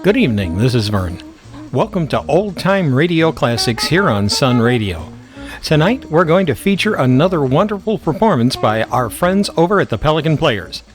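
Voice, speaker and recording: A man's voice: on the high side, sing-song, normal speed, over music. A man working as a radio host, reading a scripted programme introduction.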